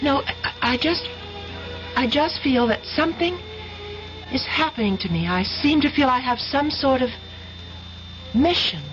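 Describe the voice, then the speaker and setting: An actress playing an earnest, haunted young college student in a 1970s-style radio drama, hesitant and breathless with trailing pauses. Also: female voice, sits high, expressive, a bit bright, faint music behind. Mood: anxious, obsessed. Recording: muffled old radio broadcast recording.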